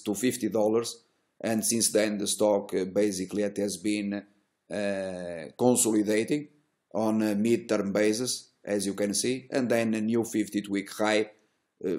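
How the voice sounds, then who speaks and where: Halting middle-aged man, low mellow non-native voice. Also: little pitch movement. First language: not English. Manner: halting, fragmented phrasing with drawn-out hesitations; self-assured, boastful about results. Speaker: stock trading educator and host. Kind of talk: unscripted screen-share market commentary. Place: small indoor room.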